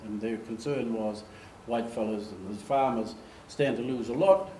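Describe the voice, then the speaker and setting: Older man, animated storyteller, echoey room, faint background hum. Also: mid-range voice, moderate pace. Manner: animated, gestures, acts out quoted voices. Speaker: speaker giving a slide talk. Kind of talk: storytelling presentation. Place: meeting room with projector screen, at a lectern. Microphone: far camera.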